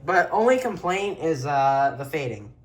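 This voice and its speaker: A man's voice: on the high side, big pitch swings, measured pace. A man giving a casual show-and-tell tour of a radio collection as an enthusiastic hobbyist.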